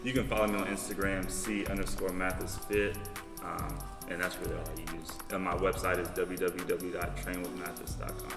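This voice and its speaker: A man who works as a fitness trainer, a guest on a podcast recorded at his gym, speaking easygoing and unhurried. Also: sits low, level tone, gravelly, roomy sound, big-room sound, over music. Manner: easygoing, hesitant fillers. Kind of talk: casual podcast chat.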